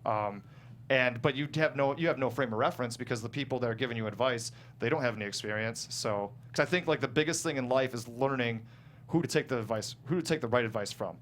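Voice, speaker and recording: A man talking casually as a guest screenwriter, explaining his work in a halting, self-correcting way. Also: medium pitch, fairly bright tone. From small town in the United States. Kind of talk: casual panel discussion of own script. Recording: clear background noise and hum.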